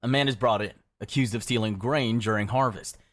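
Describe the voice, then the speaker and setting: A man reading scripted second-person dramatic narration in a grim, measured storytelling manner. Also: medium pitch, steady tone, a bit bright, no echo.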